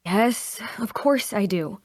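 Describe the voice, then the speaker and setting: A woman's voice: medium pitch, lively intonation, slow, darkish tone, dry recording. A woman playing an earnest, compassionate adventurer who insists on what is right, in a fantasy drama table read. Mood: reluctant. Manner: indignant, pleading.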